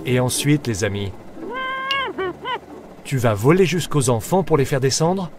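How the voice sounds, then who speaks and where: A man's voice: medium pitch, animated, a little slow, noisy background. A man narrating a children's cartoon, speaking to the characters in short, encouraging exclamations.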